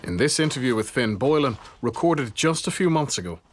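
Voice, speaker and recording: A man's voice: mid-range voice, expressive, a little fast, slight background noise. A man reading a scripted news report as narration.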